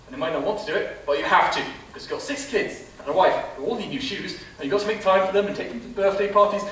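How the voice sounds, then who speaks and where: A man's voice: sits high, quick pace, a bit bright, slight background noise. A young man playing an exasperated servant tutor in a stage pantomime, deferential yet scolding and theatrical. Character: exasperated male servant tutor to a childish prince. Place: theatre stage, filmed from far camera. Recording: roomy theatre recording from far off, music.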